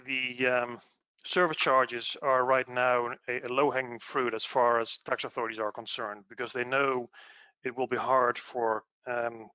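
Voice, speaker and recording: Bright-voiced man presenting, dull recording. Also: mid-range voice, no room sound. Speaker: webinar presenter, tax expert. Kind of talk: explanatory business webinar presentation. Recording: dull lo-fi online audio.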